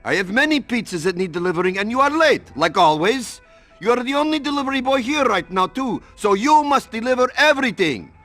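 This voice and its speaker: A man's voice: extremely high pitch, big pitch swings, a little fast, a bit bright, soft background music, heavily acted. A man playing a demanding but fair pizza shop boss in a video game, with stiff, bossy phrasing. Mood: impatient, scolding. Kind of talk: video game character acting.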